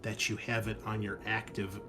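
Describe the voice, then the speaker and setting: A man running a tabletop roleplaying game, narrating and explaining casually in a halting way. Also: on the low side, monotone, over music. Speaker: game master.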